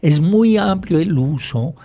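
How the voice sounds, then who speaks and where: Man, mellow warm tone, explains patiently, poses his own questions, radio guest. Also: fairly high pitch, expressive. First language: French. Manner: explanatory, measured, asks and answers own questions. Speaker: hypnotherapist guest. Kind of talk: radio interview. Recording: radio interview.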